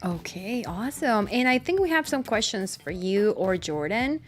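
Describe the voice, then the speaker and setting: A woman's voice: mid-range voice, big pitch swings, moderate pace. A woman hosting a live student program in a conversational interview style.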